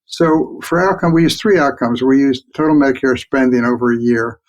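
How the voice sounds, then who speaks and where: An older man, a physician and researcher, explaining his work as an interview guest in a measured way. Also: medium pitch, dull audio.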